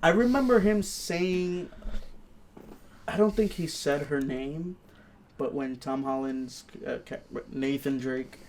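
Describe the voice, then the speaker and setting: A man's voice: fairly high pitch, slow pace, darkish tone, a little hiss or hum. A man co-hosting a film review podcast, talking casually like an enthusiastic fan. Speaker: podcast co-host reviewing films.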